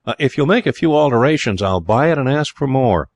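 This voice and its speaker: A man's voice: mid-range voice, animated, fairly quick, dry recording, voice pushed up. A male narrator plays a wealthy young American man from a storybook, cool and unflappable. His American accent comes with breezy slang and a kindly temper, in a dry, close sound. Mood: breezy, admiring. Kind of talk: storybook character voice in audiobook narration.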